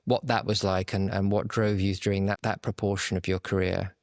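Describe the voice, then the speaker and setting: A man's voice: sits low, level tone, a little fast, no room sound, studio quality. A man hosting an interview, summing up his guest's path in an encouraging, rambling way with many restarts. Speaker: podcast host.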